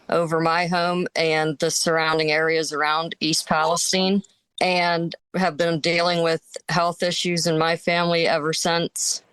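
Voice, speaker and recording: A woman's voice: low-pitched, a bit bright, clean tone, no echo, low-quality recording. A woman with an American accent, from Pennsylvania, introducing herself and giving personal testimony as an affected resident. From Pennsylvania, United States. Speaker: affected resident, interview guest.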